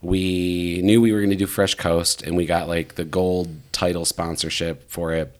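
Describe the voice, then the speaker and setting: Low-voiced male podcast host. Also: level tone, normal speed. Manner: rambling storyteller, lots of fillers and "bro". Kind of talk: casual conversation.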